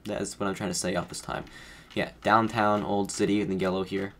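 A deep-voiced man talks through his game at a desk mic, thinking aloud and stumbling over restarts as he reasons. He sounds a little under the weather, and faint keyboard typing and a low room hiss sit behind him. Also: little pitch movement, brisk, a bit bright. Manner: rambling, self-correcting, thinking aloud while guessing. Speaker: gaming streamer. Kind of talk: casual play-by-play commentary.

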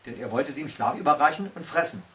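Man in his sixties, fairy-tale narrator, slightly high pitch, distant microphone, indoors. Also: fast talker, a bit bright. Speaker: storyteller. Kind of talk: reading a Christmas fairy tale aloud. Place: small tiled-stove corner indoors at home. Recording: recorded from a distance.

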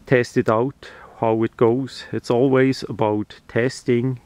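Man explaining slowly in halting short phrases. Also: mid-range voice, little pitch movement, a little mellow, no room sound. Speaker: hobbyist presenter. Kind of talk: unscripted how-to demonstration. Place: outdoors, over a ground tarp.

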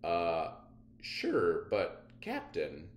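A hesitant junior police officer from a comic book, acted by a man. Slow, unsure and a bit dim, with pitch that jumps around in a roomy space. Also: huge pitch swings, slight background noise, heavily acted. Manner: hesitant, drawn-out.

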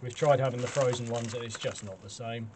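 A man's voice: medium pitch, fairly even intonation, a little fast. Chatty British man, noisy recording. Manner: chatty, self-deprecating, cheerful. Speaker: allotment gardening vlogger. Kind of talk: casual hands-on demonstration to camera. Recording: clearly noisy camera sound with clicks.

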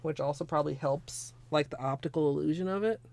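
A hoarse young woman chatting casually through a nail art tutorial at her desk at home, rambling with lots of fillers. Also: sits low, fast talker, a little mellow. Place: small home room with space heater.

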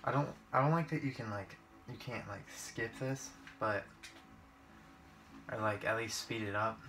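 A man's voice: lowish voice, measured pace, a bit bright, a little hiss or hum. A man giving casual, informal gameplay commentary, thinking aloud as he plays. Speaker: gaming video creator. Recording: game music behind the voice. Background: video game music.

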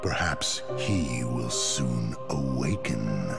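An actor playing a solemn, commanding leader of a dark order in a video game, grave, deliberate and pompous. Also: male voice, rumbling low, expressive, gravelly, over loud music, exaggerated voice. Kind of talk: video game cutscene acting.

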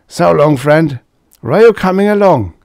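A man voicing a brash, tipsy young male drill leader who hiccups and barks orders, in a lively, loud way. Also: fairly high pitch, normal speed, no room sound, clean studio sound, voice pushed up. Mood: tipsy, boastful. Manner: boastful, gruff barking orders.

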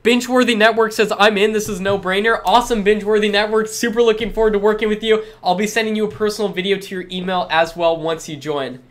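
A man's voice: sits high, steady tone, fast talker, hardly any pauses. Upbeat young man with a stuffy cold. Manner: upbeat, repetitive salesy reassurances. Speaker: course seller answering live chat. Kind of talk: live-stream Q&A, reading chat questions aloud. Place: small room with lit backdrop.

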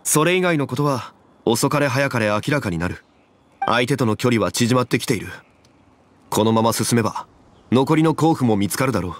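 An actor playing a composed, dignified, knowledgeable man in an anime game, speaking formally and deliberately. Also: medium pitch, expressive, close to an everyday voice. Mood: grave, decisive.

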